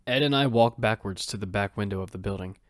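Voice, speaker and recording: A man's voice: lowish voice, fast talker, dry recording, studio quality. A man narrating a first-person action drama as its protagonist, in an earnest storytelling manner.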